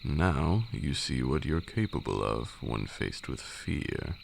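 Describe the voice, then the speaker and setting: A man playing an ancient, haughty vampire lord in an ASMR roleplay, a condescending mentor with formal, archaic speech and a gravelly voice. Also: slow pace, full character voice. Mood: stern, haughty. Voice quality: very deep, gravelly. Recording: steady noise with night insects. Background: crickets and night insect ambience.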